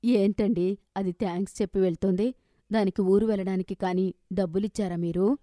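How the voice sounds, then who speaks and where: A woman's voice: mid-range voice, steady tone, a little fast, warm tone, no room sound, close to an everyday voice. Lady playing a suspicious middle aged mom in a cartoon story, naggy questioning and scolding, dry sound. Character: suspicious, stern middle-aged mother and nagging wife.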